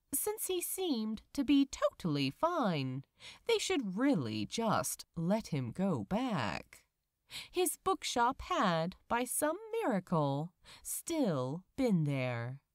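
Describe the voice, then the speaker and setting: A woman reading a story aloud as a narrator, in a measured, expressive way with deliberate pauses. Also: fairly low pitch, no echo, clean studio sound. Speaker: podfic narrator. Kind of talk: scripted audiobook-style story narration.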